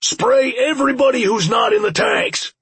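Man voicing a gruff, shouting old monster-hunter boss. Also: very high-pitched, normal speed, no room sound, pitched above the performer's own voice, exaggerated voice. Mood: urgent shouting. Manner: barking blunt, profane orders. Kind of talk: audiobook character voice.

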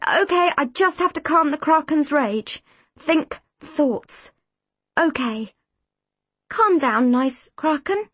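A woman's voice: sits high, lively intonation, slow, a bit bright, no room sound, voice pushed up, full character voice. A woman voicing an earnest, anxious young mermaid girl, the hesitant heroine of a storybook.

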